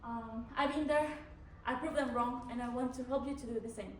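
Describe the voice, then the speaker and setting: High-voiced young woman, Mexican accent. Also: fairly even intonation, fairly quick, slight background noise. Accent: Mexican Spanish. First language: Spanish. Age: young adult. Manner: hesitant, many fillers and restarts. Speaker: student officer candidate. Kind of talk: candidate answering panel questions. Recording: echoey room, from far off.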